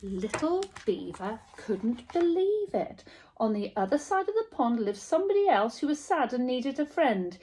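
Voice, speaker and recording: A woman's voice: high voice, big pitch swings, normal speed, a little mellow, rough recording. A woman reading a children's storybook aloud expressively, like a teacher telling a story to small children.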